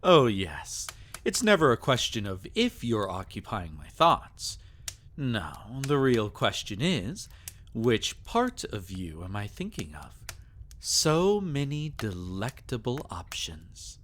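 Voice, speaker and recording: A sadistic vampire lord, acted by a man in an intimate ASMR roleplay. He speaks slowly and theatrically, with lively rises and falls in pitch. His manner is possessive and taunting, wrapping menace in silky endearments. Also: slight background noise, heavily acted. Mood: teasing.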